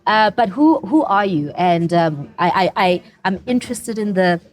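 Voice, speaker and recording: A woman hosting a live interview at a design festival, playful and halting, often repeating words as she speaks. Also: medium pitch, animated. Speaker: podcast host. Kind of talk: casual interview chat. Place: festival venue, recorded live.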